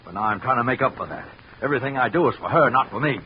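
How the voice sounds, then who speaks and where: A man's voice: mid-range voice, animated, racing, close to an everyday voice. An actor playing a defensive, middle-aged working-class watchman with plain, ungrammatical speech in an old radio detective drama. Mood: defensive, pleading. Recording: dull vintage radio recording, faint hiss.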